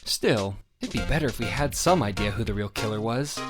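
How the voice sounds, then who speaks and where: A man's voice: fairly low pitch, animated, fairly quick, over music, lightly acted. A man playing an earnest young defense lawyer in a video game fan dub. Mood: earnest, thoughtful.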